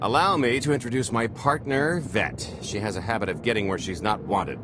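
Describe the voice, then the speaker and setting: Actor as a cocky smuggler, wildly lively low voice. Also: male voice, fairly quick, noisy background, lightly acted. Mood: dry, teasing. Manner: glib, sardonic banter. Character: cocky roguish male smuggler, wry and scheming. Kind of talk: video game voice acting.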